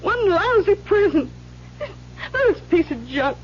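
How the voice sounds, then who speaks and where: Casting: bitter, weepy drinker unlucky with men, very high voice, slangy radio-drama delivery, muffled noisy tape. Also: female voice, big pitch swings, takes it slowly, exaggerated voice. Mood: bitter complaining. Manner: self-pitying, slangy, blunt. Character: bitter, weepy, hard-drinking woman stuck with bad men. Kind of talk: old-time radio drama acting.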